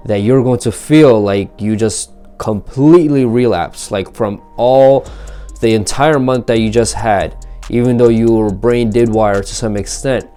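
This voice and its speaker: A young man speaks in a fairly low, warm, relaxed voice. He lectures earnestly, mixing advice with personal testimony. Faint music plays underneath. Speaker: video creator. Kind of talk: self-help vlog monologue.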